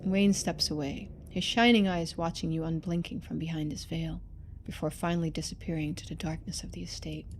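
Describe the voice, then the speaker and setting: A woman's voice: sits low, steady tone, fairly quick, a little hiss or hum. A woman game streamer reading a visual novel aloud, switching into playful, chatty live commentary.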